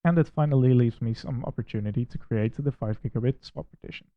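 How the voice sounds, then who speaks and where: A man's voice: mid-range voice, quick pace, dry recording, muffled recording. Dutch-accented man, methodical and critical. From Netherlands. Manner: critical, methodical, plainly spoken. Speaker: tech reviewer. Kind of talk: screen-recorded software walkthrough.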